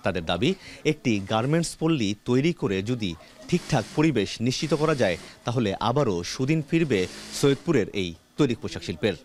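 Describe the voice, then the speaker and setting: A man narrating a television news report in an even, measured delivery. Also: medium pitch, a little hiss or hum. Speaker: field reporter.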